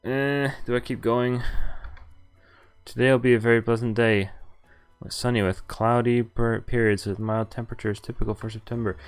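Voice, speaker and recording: A man's voice: medium pitch, fairly even intonation, normal speed, clean tone, soft background music. Man reading a visual novel aloud. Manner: reads text aloud, breaking off with offhand asides; loud, exaggerated yelps when voicing pained lines. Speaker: streamer.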